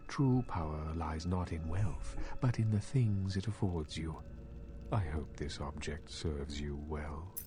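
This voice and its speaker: An actor playing an aloof, courteous ancient vampire elder in a video game, speaking in a formal, measured, pompous way. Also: male voice, rumbling low. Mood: grave, measured. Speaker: game character giving rewards. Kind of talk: scripted game dialogue. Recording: loud ambient noise behind.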